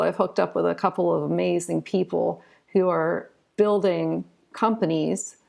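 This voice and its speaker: A woman's voice: lowish voice, gravelly, a little mellow. A woman speaking as a guest in a conversational interview, earnest and emphatic, often repeating words for stress.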